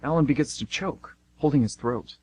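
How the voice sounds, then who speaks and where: A man's voice: medium pitch, normal speed, a little mellow, slight background noise. A man reading scripted narration for an amateur radio play.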